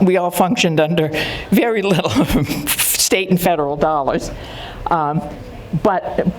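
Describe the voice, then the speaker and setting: An older woman giving a conference talk, recalling her early career in an unhurried way with pauses. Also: lowish voice, darkish tone, slight background noise, low-quality recording. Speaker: conference speaker. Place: conference hall.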